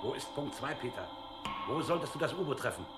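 An actor playing a ruthless, impatient secret-police captain in a German radio drama, speaking in a harsh, threatening, commanding way with a husky voice. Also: male voice, mid-range voice, expressive, a little fast, over loud music, close to an everyday voice. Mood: impatient, pressing.

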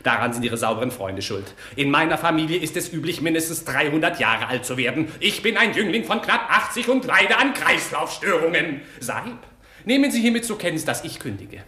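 Vain old indian fakir, pompous n touchy, sharp bright voice, echoey radio play. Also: male voice, on the high side, big pitch swings, brisk. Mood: outraged, complaining. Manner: pompous, grandiose, easily offended, theatrical. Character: vain, indignant eighty-year-old Indian fakir, proud of his tortures. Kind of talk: comic radio play acting.

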